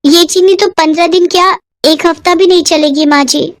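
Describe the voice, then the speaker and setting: A woman's voice: extremely high pitch, steady tone, moderate pace, voice pushed up. A woman voicing a meek young daughter-in-law in a cartoon, with a polite, pleading manner. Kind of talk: cartoon voice acting.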